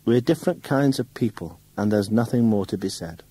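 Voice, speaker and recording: A man reading a novel aloud, putting on the voice of a young woman who speaks with low, controlled contempt and turns to sharp accusation. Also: lively intonation, a little fast, slightly rough. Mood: weary. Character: bitter scornful young woman lashing out.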